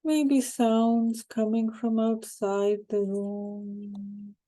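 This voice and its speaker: A woman guiding a hypnosis meditation in a calm, unhurried, soothing way, with short phrases and pauses. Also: mid-range voice. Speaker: hypnosis guide.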